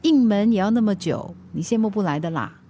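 A woman's voice: mid-range voice, lively intonation, fairly quick, dark tone, slight background noise, close to an everyday voice. A woman acting a cheerful, helpful food delivery rider in a radio drama, chatty, with a Singaporean accent and English words mixed in. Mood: upbeat.

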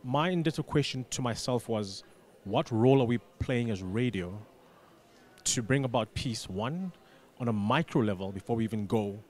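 A man answering as a radio interview guest, explaining deliberately and repeating words as he forms his points. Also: mid-range voice, animated, darkish tone. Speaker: radio station manager, studio guest.

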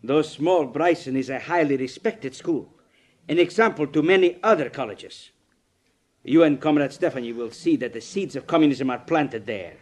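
A man's voice: medium pitch, darkish tone, close to an everyday voice. Man playing a stern Soviet spymaster, vintage radio drama. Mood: commanding. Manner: commanding, deliberate, condescending. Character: stern, menacing male Soviet agent and party boss. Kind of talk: 1950s radio drama acting. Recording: vintage 1950s radio recording.

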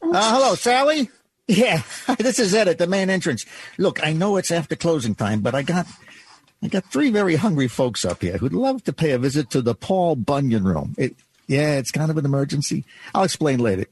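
A man's voice: on the high side, very animated, moderate pace, husky, exaggerated voice. An actor playing a kindly, folksy department store doorman in an old-time radio drama, reassuring and a little hesitant. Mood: eager.

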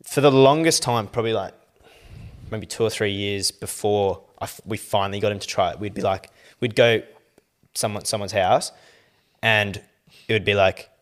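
Twenties man, low-pitched, rambling and sweary, casual podcast co-host. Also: moderate pace. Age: 20s. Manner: rambling, heavy swearing and filler "like". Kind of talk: casual chat.

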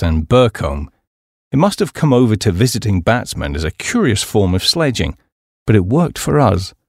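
A man's voice: low-pitched, darkish tone, dry recording, studio quality. A middle-aged British man reading a humorous memoir as an audiobook, with a wry storytelling delivery. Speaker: audiobook narrator.

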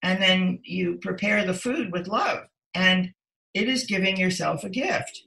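Bright, creaky-voiced older woman. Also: lowish voice, unhurried, rough recording. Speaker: interview guest, author. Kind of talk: reflective conversational interview answers.

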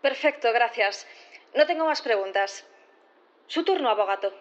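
An actress playing a brisk female prosecutor questioning a witness, pausing as she builds her questions. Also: fairly high pitch, expressive, lo-fi sound, noisy background, lightly acted. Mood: probing, businesslike.

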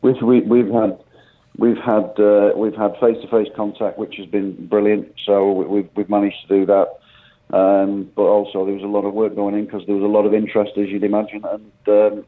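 Phone guest: low-voiced man, halting speech full of restarts, tinny line. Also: flat delivery. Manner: halting, many restarts and fillers. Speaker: football manager guest. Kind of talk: phone interview.

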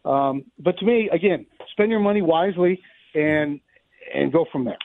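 A man's voice: on the high side, moderate pace. A middle-aged man giving conversational, wryly humorous sports analysis as a play-by-play announcer guesting on a radio show. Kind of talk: unscripted sports analysis in a live radio interview. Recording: roomy, thin narrow-band phone line.

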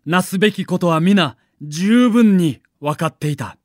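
A man narrating a scripted documentary in a dramatic, measured storytelling manner. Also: sits high, no room sound, clean studio sound.

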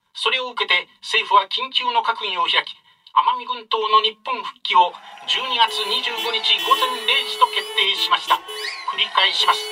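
An actor playing a solemn 1950s radio newsreader giving a formal, measured bulletin through an old radio filter. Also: male voice, sits high. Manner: formal, measured, clearly enunciated. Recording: thin, distant old radio sound, music.

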